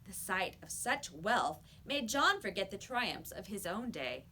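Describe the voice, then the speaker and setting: A woman's voice: mid-range voice, big pitch swings, measured pace, pushed and bright, a little hiss or hum. A woman reading a children's story aloud like a teacher, with emphatic, dramatic storytelling.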